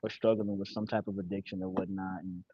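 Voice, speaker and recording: A man's voice: low voice, little pitch movement, a little fast, warm tone, slightly rough, no echo, dull audio. Candid, profane man in his forties. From Connecticut, USA. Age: 40s. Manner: candid, profane, street slang. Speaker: guest. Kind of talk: casual podcast chat.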